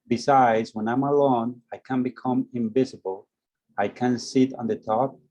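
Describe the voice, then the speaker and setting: A man's voice: medium pitch, darkish tone, muffled recording. A man taking part in a class, reading aloud and speaking reflectively. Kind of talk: poem read aloud, brief reflective comments.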